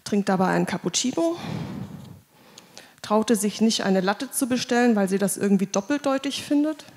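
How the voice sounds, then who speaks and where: A woman's voice: mid-range voice, lively intonation, moderate pace, darkish tone. Woman telling a wry story, hall reverb. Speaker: slam poet. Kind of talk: wry third-person slam storytelling. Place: live stage in an indoor event hall. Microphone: mic on stand.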